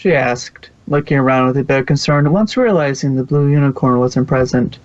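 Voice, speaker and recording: A man's voice: mid-range voice, a little fast, dry recording, lo-fi sound. A man reading fan fiction aloud as the narrator, close to the microphone.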